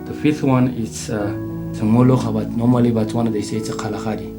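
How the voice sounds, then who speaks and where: Lowish voice, afrikaans-speaking man, unhurried, loud guitar music behind him. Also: steady tone. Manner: unhurried, lists languages with examples. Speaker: reserve staff member. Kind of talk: interview answer.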